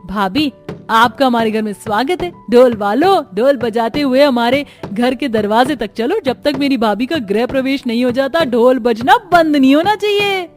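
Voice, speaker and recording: A woman acts out a bubbly young sister-in-law from a family cartoon. She is doting and eager, pitched very high, and exclaims her affection over background music. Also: animated, normal speed. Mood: eager, bossy. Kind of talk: cartoon family story acting.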